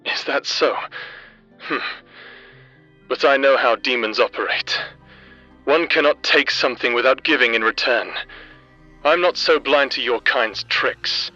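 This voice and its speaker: Man playing a bright, husky, wounded captive. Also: mid-range voice, muffled recording. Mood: wary, shrewd. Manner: halting, dramatic. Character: heartbroken captive man, proud, wary and clever. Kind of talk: fantasy audio roleplay acting. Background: faint music.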